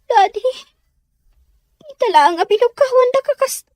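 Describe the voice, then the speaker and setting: Casting: actress as troubled teen daughter, Ilocano Filipino accent, extremely high voice, defiant and evasive, audio soap drama. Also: female voice, expressive, normal speed, darkish tone, dry recording, studio quality. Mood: tearful pleading.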